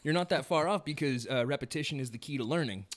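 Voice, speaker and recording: A man's voice: mid-range voice, quick pace. A man hosting a casual livestream podcast, loose and joking, laughing often between fillers. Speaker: podcast host.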